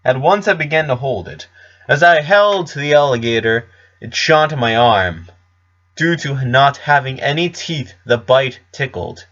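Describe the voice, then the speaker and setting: A man's voice: mid-range voice, expressive, measured pace, fairly bright tone. A man narrating a scripted story in a storybook reading style. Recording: slightly dull, lo-fi recording.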